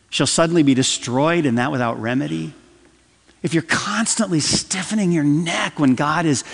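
A man preaching a sermon from the pulpit, swinging between loud emphatic lines and soft, dropped phrases. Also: on the high side. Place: church sanctuary. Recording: long hall reverb.

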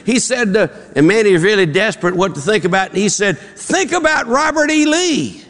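Southern pastor guy cracking folksy jokes from the pulpit, drawly, voice a bit high, long echo of the auditorium. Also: expressive, normal speed, fairly bright tone. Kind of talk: sermon with folksy joke-telling. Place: church auditorium. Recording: long reverb in a large hall.

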